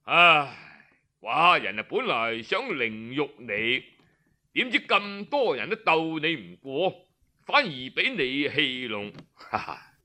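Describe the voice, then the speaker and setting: A man's voice: a little high, very animated, slow pace, pushed and bright, heavily acted. A man voicing a proud ancient king in Cantonese, sighing grandly as he ruefully admits defeat in a storybook tale. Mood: rueful admiration.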